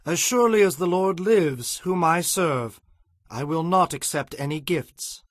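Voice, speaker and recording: An actor playing a stern, commanding prophet with a solemn, authoritative manner in a dramatized audio Bible. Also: male voice, a little high, measured pace, dry recording, clean studio sound, close to an everyday voice. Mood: firm, solemn.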